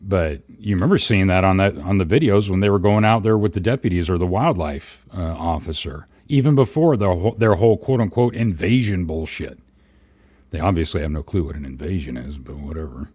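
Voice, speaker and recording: Low-voiced, profane man chatting. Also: fairly quick, darkish tone, no echo, studio quality. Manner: blunt, profane, rambling. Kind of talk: casual opinionated livestream chat.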